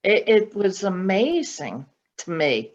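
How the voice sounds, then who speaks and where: A woman chatting and telling personal stories in a slow, deliberate way over a video call. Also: medium pitch, very animated. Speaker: podcast guest. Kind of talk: casual conversational storytelling. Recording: dull, lo-fi video call audio.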